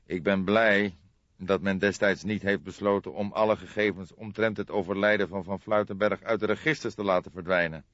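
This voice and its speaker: A man voicing an older police detective, calm and wry, speaking in measured, formal phrases in an audiobook. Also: low voice, no room sound, highs cut off. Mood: thoughtful. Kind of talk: audiobook character voice.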